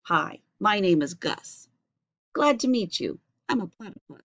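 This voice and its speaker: A woman's voice: medium pitch, lively intonation, a little slow, a bit bright. A woman voicing a friendly, wistful young male platypus in a simple, plaintive storybook manner. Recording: dull lo-fi webcam recording.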